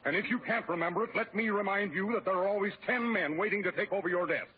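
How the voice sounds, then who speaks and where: Actor as a gruff barking boss, tinny old recording. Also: male voice, high voice, quick pace, close to an everyday voice. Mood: exasperated, angry. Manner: barking, stern, humorless. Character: gruff, impatient, domineering male company boss. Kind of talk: 1940s radio comedy acting. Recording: thin, phone-like old radio recording.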